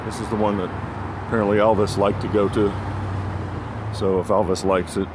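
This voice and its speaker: A man giving casual, rambling, low-key vlog narration on a phone while out walking. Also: little pitch movement, fairly quick. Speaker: vlogger. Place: outdoors on a sidewalk beside a busy road. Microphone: handheld phone. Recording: outdoor phone recording with traffic noise. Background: passing cars, street ambience.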